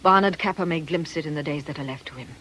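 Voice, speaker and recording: A woman's voice: sits low, fast talker, fairly bright tone, slight background noise. An actress playing a bitter, mocking middle-aged witch in a theatrical radio drama manner. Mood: brooding menace.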